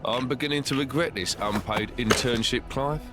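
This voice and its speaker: An actor playing a dry, weary male henchman sidekick in a comic video game, deadpan. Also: mid-range voice, moderate pace, close to an everyday voice. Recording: loud music under the voice.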